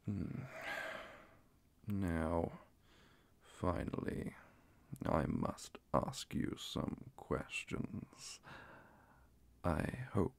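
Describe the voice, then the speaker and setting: A man voicing a calm, courteous, scholarly vampire inquisitor in a soft-spoken ASMR roleplay, breathy and gravelly, with measured, formal speech and whispers. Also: low-pitched, heavily acted.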